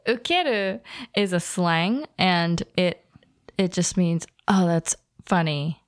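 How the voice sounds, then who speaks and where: Smooth-voiced woman teacher co-host. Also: fairly low pitch, slow pace, no room sound, studio quality. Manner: laughs often, short warm replies, explains slang. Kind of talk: casual language-lesson podcast chat.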